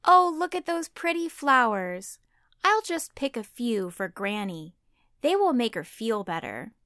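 Lady doing a sweet little girl puppet, high voice, slow and sing-songy, super polite. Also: very animated, pushed and bright, dry recording, clean studio sound, exaggerated voice. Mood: sweet, innocent. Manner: innocent, polite, sing-song. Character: sweet, trusting little girl in a fairy tale. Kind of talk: children's puppet show.

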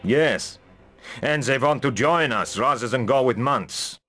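A man's voice: mid-range voice, unhurried, pushed and bright, soft background music. A man playing a shrewd, suspicious mercenary lieutenant, serious and plain-spoken, in a fantasy video game. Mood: reporting.

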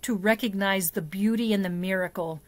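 A middle-aged woman reads and discusses a picture book for young children, expressively and deliberately, with dramatic emphasis. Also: medium pitch, dry recording. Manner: deliberate, asks the listener questions, dramatic hushes and emphasis. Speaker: storyteller for a church children's program. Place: small indoor setup before a plain backdrop.

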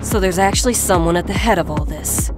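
A woman's voice: fairly low pitch, fairly quick, lightly acted. A woman playing a tough, serious young fighter in a video game, suspicious and sardonic. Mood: cold, hard. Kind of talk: video game cutscene acting. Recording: game audio under loud music.

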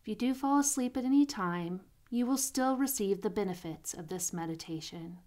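A woman guiding a sleep meditation in a soothing, unhurried manner, pausing between phrases, with soft, breathy phrase endings. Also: medium pitch, animated. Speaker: meditation guide.

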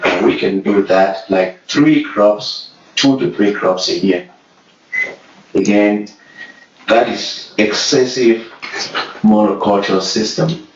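A man from Cameroon with a Cameroonian accent, giving an earnest conference presentation with some filler words. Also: sits low. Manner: earnest, speaks slowly with fillers. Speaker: program leader giving a conference talk. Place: conference room. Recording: distant roomy hall recording, dull sound.

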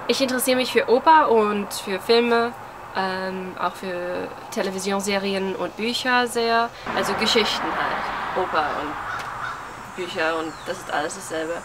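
A woman's voice: mid-range voice, a little slow. A twenty-year-old woman chatting casually and rambling to a camera, hesitant and searching for words, with a self-deprecating manner. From Germany, living in New York. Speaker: vlogger. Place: outdoors on a porch among trees. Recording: outdoor recording with clear ambient and traffic noise. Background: outdoor ambience, passing cars.

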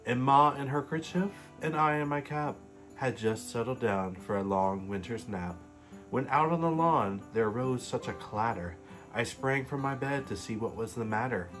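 A man in his late twenties, sitting on a living room couch and reading a Christmas story aloud in a gentle, casual way. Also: mid-range voice, normal speed, faint music behind. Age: late 20s. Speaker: storybook reader. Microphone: webcam facing him at close range.

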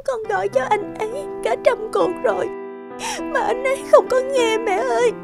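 A woman's voice: very high voice, moderate pace, gravelly. A woman playing a distressed, clinging young mother and betrayed wife in a cartoon drama. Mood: desperate. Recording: loud music behind the voice.

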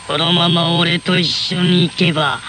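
An actor playing a sly, rough-talking male devil in a radio drama. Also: a little high, little pitch movement, unhurried, bright, forceful tone, a little hiss or hum. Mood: smug. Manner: swaggering, coarse street talk.

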